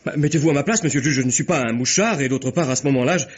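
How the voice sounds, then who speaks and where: An actor playing a nervous, evasive, cowardly suspect in a radio mystery, stammering and defensive. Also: male voice, mid-range voice, rapid-fire, breathy edge, close to an everyday voice. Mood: hesitant, self-justifying. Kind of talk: French radio mystery drama acting. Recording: dull, muffled old radio recording.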